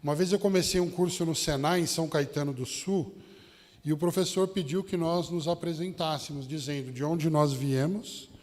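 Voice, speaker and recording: A man's voice: a little high, moderate pace, a little mellow. A man with a São Paulo Brazilian accent preaching a sermon as a Baptist pastor, addressing his listeners directly with rhetorical questions and anecdotes. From São Paulo state, Brazil. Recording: long hall reverb.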